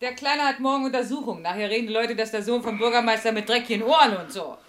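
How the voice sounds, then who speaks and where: An actress playing a brisk, exasperated mayor's wife in a radio drama, curt, sardonic and scolding. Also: female voice, fairly high pitch, lightly acted. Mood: curt, reproachful. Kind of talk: 1970s East German radio drama acting.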